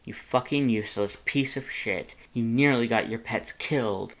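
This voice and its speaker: A gruff male skeleton monster from an audio story, acted out by a narrator. He snarls and swears heavily, rough on himself and everyone around him, with a tender streak underneath. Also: mid-range voice, moderate pace, lightly acted, low-quality recording. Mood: bitter self-reproach.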